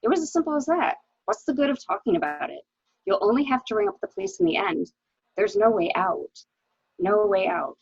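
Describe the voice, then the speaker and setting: A woman playing a cool, weary, bitter wife in a murder mystery reading, with a wry, deliberate, dramatic delivery on a lo-fi recording. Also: medium pitch, expressive, vocal fry, husky, dry recording. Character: cool, weary, bitter wife who shot her husband. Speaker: actor in an amateur library reading.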